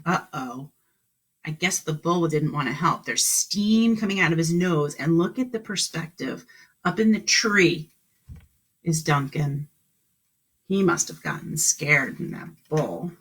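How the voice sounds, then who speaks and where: A woman teacher reads to small children in a husky voice with very lively intonation. She takes her time, exclaiming, asking questions and explaining as she goes. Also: deep voice, a little slow. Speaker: kindergarten teacher. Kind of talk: read-aloud to young children with explanations and questions.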